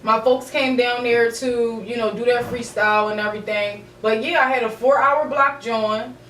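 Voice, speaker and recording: A woman's voice: fairly high pitch, steady tone, moderate pace, a bit bright. A woman chatting casually on a podcast in conversational, profane slang. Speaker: music artist, podcast guest. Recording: roomy, echoey, distant mic, background noise.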